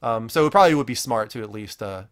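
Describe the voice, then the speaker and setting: A man's voice: medium pitch, expressive, fast talker. A man chatting casually as the host of a gaming podcast.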